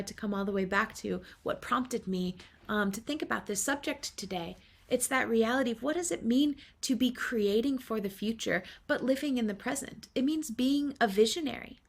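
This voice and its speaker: A woman giving an earnest spiritual talk, speaking with affirmations and unhurried pauses. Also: a little high. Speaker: spiritual teacher.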